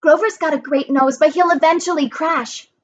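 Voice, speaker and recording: A woman's voice: sits high, fairly even intonation, normal speed, highs cut off, pitched above the performer's own voice, natural voice. A woman voicing a brainy, determined girl in a fantasy storybook, insistent and matter-of-fact. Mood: urgent, insistent.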